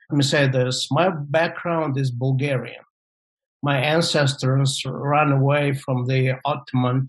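A man in his forties from Moldova whose first language is Russian, a pastor telling his story in an interview, measured and pausing often with fillers. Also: mid-range voice, rough recording. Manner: measured, halting with frequent fillers.

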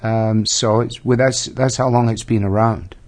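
A Scottish man in his fifties, a pastor answering interview questions in a measured, thoughtful way with frequent pauses. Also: lowish voice, fairly even intonation, a little mellow. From Scotland. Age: 50s. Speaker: pastor and guest. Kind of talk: reflective interview storytelling.

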